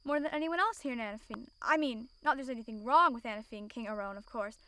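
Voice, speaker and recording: A woman's voice: fairly high pitch, fast talker, pushed and bright, smooth. A woman playing a lonely, courteous young royal outsider in an amateur audio drama, speaking earnestly and formally. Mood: wistful.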